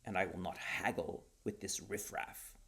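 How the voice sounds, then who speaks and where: A man voicing a haughty, irascible Duke in a story, pompous and scornful, with a gravelly voice. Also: low voice, a little slow, fairly bright tone, full character voice. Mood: indignant rage.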